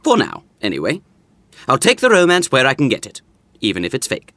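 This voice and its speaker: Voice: mid-range voice, wildly swooping pitch, a little fast, fairly bright tone, no echo, heavily acted. An actor playing a wistful, teasing young confidant in a modern romantic comedy audio drama. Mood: soft, concerned.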